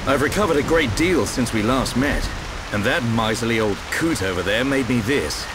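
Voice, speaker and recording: An actor playing a proud, battle-hardened swordsman in a video game, speaking in a measured, wry and resolute way. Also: medium pitch, animated, music in the background, natural voice, poor audio. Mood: resolute, confident. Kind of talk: video game cutscene acting.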